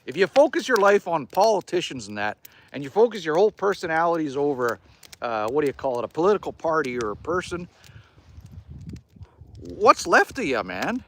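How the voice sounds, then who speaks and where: A man's voice: fairly high pitch, sing-song, normal speed, a bit bright, slight background noise. A middle-aged Canadian man hosting a casual livestream from his cabin, chatting folksy and joking with viewers as he reads their comments. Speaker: livestream host. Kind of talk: casual live chat reading viewer comments. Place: cabin by a wood fire.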